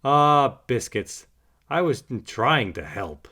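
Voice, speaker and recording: A man's voice: mid-range voice, big pitch swings, slow pace, sharp tone, pitched above the performer's own voice, heavily acted. A man voicing a snappy, possessive female dog in a children's storybook, curt and sarcastic. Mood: exasperated.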